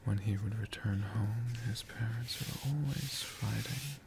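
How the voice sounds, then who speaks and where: A storyteller whispering a tale for ASMR in a breathy, hushed voice, with dramatic phrasing and long pauses. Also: mid-range voice, heavy background noise. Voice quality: breathy, mostly unvoiced whisper.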